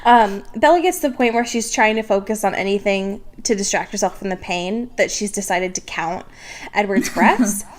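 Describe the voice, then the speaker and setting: A woman co-hosting a podcast, casually recapping a book in a slangy, sarcastic, animated way. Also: medium pitch, normal speed. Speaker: podcast co-host.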